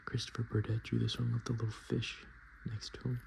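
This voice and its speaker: A man hosting softspoken, whispered ASMR in a murmured, unhurried, casual and self-deprecating way. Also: medium pitch, completely flat delivery, creaky, slight background noise. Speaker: ASMR video host.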